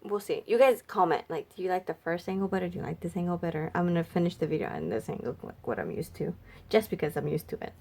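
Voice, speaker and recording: A woman makeup vlogger chatting casually and thinking aloud, her voice a little raspy and stuffy from a cold. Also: on the low side, lively intonation, quick pace, dark tone. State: slightly raspy, congested from a cold. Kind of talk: casual makeup swatch review chat.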